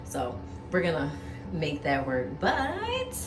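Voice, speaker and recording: A woman's voice: sits low, huge pitch swings, takes it slowly, over music. A woman flight attendant chatting casually to her phone camera in a travel vlog, bubbly and full of fillers. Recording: roomy sound with background noise.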